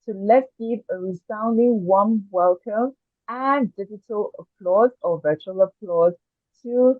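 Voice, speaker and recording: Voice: medium pitch, measured pace, dry recording. A host introduces a guest to an online conference in an encouraging tone. The call audio is thin and phone-like, with a dry, close sound and no room around it. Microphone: video call.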